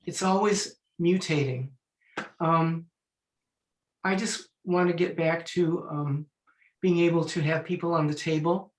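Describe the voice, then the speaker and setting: A man's voice: a little high, fairly even intonation, unhurried. Older man on an echoey video call. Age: 60s. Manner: chatty, anecdotal, clears throat. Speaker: guest, musician and Feldenkrais teacher. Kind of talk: online panel conversation.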